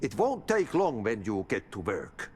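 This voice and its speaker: An older, cryptic Finnish janitor from a video game. His cheerful, folksy delivery swings wildly up and down in pitch and takes its time. The voice rings in a long, cavernous reverb. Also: wildly swooping pitch, a little slow, darkish tone, slight background noise. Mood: playful, cryptic. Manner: folksy riddles, sing-song drawl.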